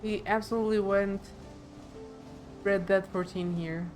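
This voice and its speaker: A woman's voice: medium pitch, steady tone, a little slow, a little mellow. A woman streamer giving casual, off-the-cuff commentary while playing a video game. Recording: game music behind the voice.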